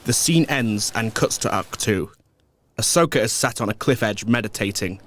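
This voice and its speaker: A man's voice: on the low side, moderate pace. A man narrating an action audio drama from a script in the present tense. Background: loud music.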